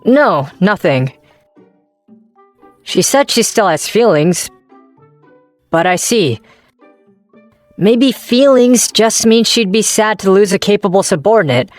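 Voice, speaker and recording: A woman's voice: medium pitch, lively intonation, takes it slowly, pauses often, faint music behind. Woman voicing a wistful young male office worker. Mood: probing, guarded. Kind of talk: manga dub narration and dialogue.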